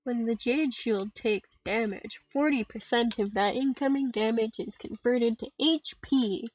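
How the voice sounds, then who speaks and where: A woman giving casual solo commentary on a game stream in a playful, dryly self-deprecating, halting way. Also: fairly high pitch, darkish tone. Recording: dull lo-fi mic with faint noise and clicks.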